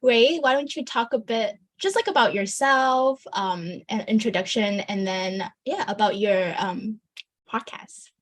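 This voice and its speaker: A woman co-hosting a podcast, chatting in a bubbly, quick way with lots of "like". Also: medium pitch. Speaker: podcast co-host. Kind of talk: casual podcast chat.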